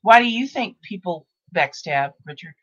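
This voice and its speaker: A woman's voice: fairly low pitch, animated, normal speed, a bit bright, highs cut off. A woman in her sixties hosting a casual talk show, rambling and repeating herself with many restarts. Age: 60s. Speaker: host. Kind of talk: casual podcast chat.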